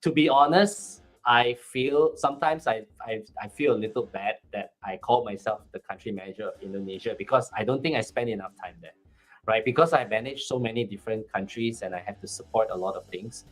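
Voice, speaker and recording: Man, malaysian accent, low-pitched, hesitant restarts, casual panel talk, faint music behind. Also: brisk, rough recording. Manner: hesitant, many restarts and repeated words. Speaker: country manager, panel guest.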